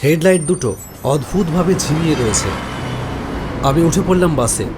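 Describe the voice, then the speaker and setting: A man's voice: fairly high pitch, animated, moderate pace, background noise. A man narrates a scripted first-person horror story.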